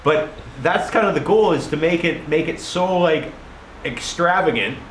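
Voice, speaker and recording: A man's voice: a little high, moderate pace, fairly bright tone, reverberant, slight background noise, rough recording. A young man from Canada chatting casually and joking, laughing often and repeating himself as he explains a holiday project. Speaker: friend on a vlog. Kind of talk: casual chat.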